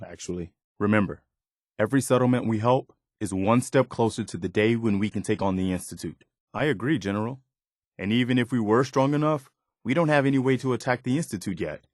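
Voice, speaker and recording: A man playing an earnest, loyal militia officer in a video game, speaking in a sincere, halting, conversational way. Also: lowish voice, dry recording, studio quality, natural voice. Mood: measured, determined. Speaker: game character. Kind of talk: video game dialogue acting.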